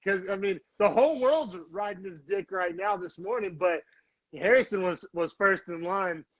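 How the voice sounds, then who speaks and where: A man's voice: sits high, moderate pace, no room sound. A young man co-hosting a podcast in casual chat, rambling with lots of fillers and restarts. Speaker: podcast co-host. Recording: dull, dry lo-fi sound.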